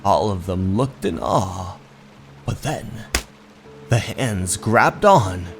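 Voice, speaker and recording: A man narrating a scripted horror story in a theatrical, measured way. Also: lowish voice, lively intonation. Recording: voice over background music.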